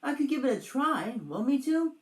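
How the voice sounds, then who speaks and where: Squeaky scared little dragon kid, done by a story reader, swoopy pitch, bit echoey. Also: sing-song, normal speed. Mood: unsure. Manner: nervous, squeaky. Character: timid, whiny young boy dragon, anxious but loyal.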